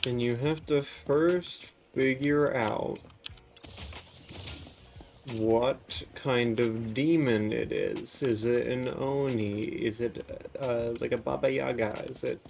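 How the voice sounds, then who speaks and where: A man's voice: medium pitch, steady tone, slow pace, vocal fry, darkish tone, a little hiss or hum. A man giving casual live commentary as a game streamer, musing aloud and chatting to viewers. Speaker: streamer playing a video game.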